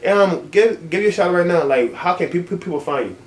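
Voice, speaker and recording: A man's voice: high voice, expressive, quick pace, echoey room, poor audio. A man hosting a casual interview, talking in an upbeat, promotional way. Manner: upbeat, promotional, repeats words. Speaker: interview host.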